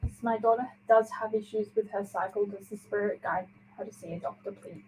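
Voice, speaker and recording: Flat-voiced woman reading messages. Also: medium pitch, brisk, a little hiss or hum, low-quality recording. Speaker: co-host reading listener messages.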